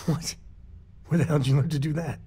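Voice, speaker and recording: Wry protective cowboy dad in a space game, really raspy rough voice, folksy. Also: male voice, a little high, fairly even intonation, fairly quick, mellow. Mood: exasperated, concerned. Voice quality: husky, rough-edged. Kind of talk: video game dialogue acting.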